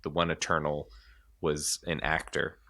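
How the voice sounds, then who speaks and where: Deep-voiced rambling podcast host man. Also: little pitch movement, unhurried, vocal fry, pushed and bright. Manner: rambling, hesitant, lots of fillers. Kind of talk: casual chat.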